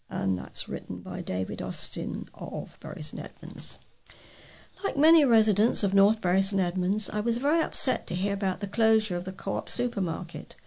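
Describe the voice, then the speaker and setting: Gravelly British woman reading letters aloud. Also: medium pitch, darkish tone. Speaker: volunteer newsreader for a local talking newspaper.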